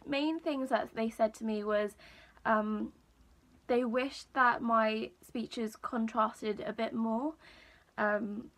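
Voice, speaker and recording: A young woman vlogger with a high register and a croaky voice, chatting casually to camera in a small room, with lots of ums. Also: takes it slowly. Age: young adult. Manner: chatty, many ums, relaxed storytelling.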